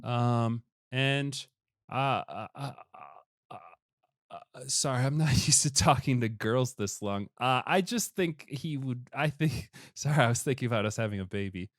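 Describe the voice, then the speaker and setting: A man's voice: medium pitch, moderate pace, no echo, studio quality, close to an everyday voice. A man voicing an awkward, bookish young nobleman who stammers when flustered.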